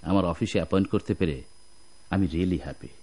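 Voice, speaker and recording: Gracious company boss, low voice, Bangladeshi accent with English mixed in, radio play. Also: male voice, brisk, darkish tone. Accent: Bangladeshi, mixing in English. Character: genial, courteous company boss welcoming staff. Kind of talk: Bengali radio drama acting.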